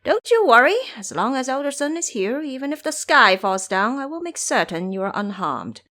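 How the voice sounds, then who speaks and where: A woman's voice: high voice, very animated, normal speed, bright, forceful tone, voice pushed up, full character voice. A woman voicing a bold, cocky male monkey disciple in an audio drama, boastful and fond of lecturing. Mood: confident, preachy. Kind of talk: audio drama character acting.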